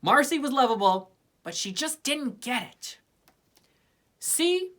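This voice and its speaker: A woman's voice: a little high, expressive, moderate pace, fairly bright tone. A woman reading a children's story aloud with plenty of expression. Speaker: storybook reader.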